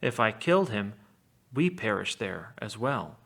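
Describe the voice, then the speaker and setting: Creaky-voiced man reading aloud. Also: lowish voice, sing-song, measured pace, fairly bright tone. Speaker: teacher reading a story aloud. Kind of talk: read-aloud storytelling with casual lesson commentary.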